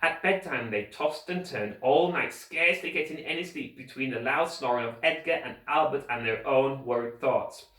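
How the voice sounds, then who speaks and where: A man reading a children's story aloud like a teacher, with expressive, deliberate scripted narration. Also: medium pitch. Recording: roomy echo, distant microphone.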